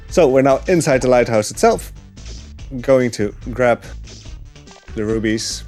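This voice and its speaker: A man commentating on a speedrun from his couch at home, chatty and relaxed with lots of fillers. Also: fairly low pitch. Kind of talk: casual gameplay commentary. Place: home living room couch.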